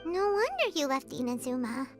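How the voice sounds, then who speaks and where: A woman voicing a small, childlike, excitable fairy companion in a video game, squeaky and fretful. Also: brisk. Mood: sympathetic. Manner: chirpy, exclamatory, speaks of herself in third person. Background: game music.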